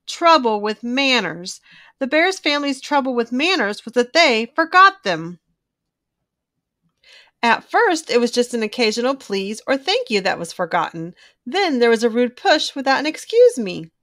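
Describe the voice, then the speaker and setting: Animated higher-voiced woman reading to children. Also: normal speed. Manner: animated, emphatic, draws out key words. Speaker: children's book reader. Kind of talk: expressive read-aloud storytelling for children.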